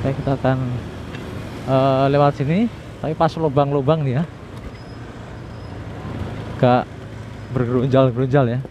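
A man giving casual, unhurried riding commentary as a motovlogger, with little asides to himself and his viewers. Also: mid-range voice, level tone. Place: outdoors, riding a motorbike through city streets. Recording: dull lo-fi audio with clear traffic noise. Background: vehicle engines and street traffic noise.

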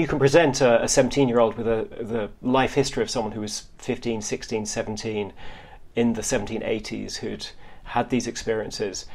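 A man's voice: mid-range voice, gravelly, darkish tone. A man giving explanatory interview answers as an academic historian, often repeating and rebuilding his phrases as he goes.

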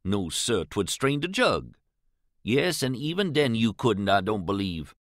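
A man's voice: on the low side, very animated, measured pace, no echo, studio quality. A man voicing an earnest, pious older male riverman with a drawl, pleading and questioning. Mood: bewildered.

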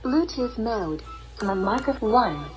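A woman's machine voice delivers brief device status prompts. The pace is slow and the pitch a little high, with loud music playing over it. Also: expressive, poor audio. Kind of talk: short electronic status announcements.

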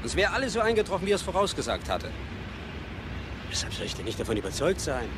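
A quick, indignant believer. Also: male voice, fairly high pitch, big pitch swings, noisy background. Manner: insistent, defensive. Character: indignant, convinced male paying spectator. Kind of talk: radio play acting as interviewee.

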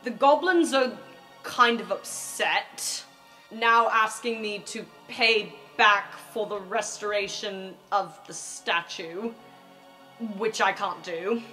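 Woman roleplaying casually over soft music. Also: mid-range voice, slow pace, low-quality recording. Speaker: tabletop game player. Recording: music bed under the voice. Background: background music.

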